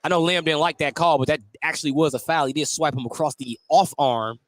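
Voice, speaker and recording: Higher-pitched man calling live basketball. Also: fairly even intonation, fairly quick, dry recording. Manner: clipped, descriptive call of each play. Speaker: play-by-play announcer. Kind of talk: live basketball radio commentary. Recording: noisy live sports broadcast.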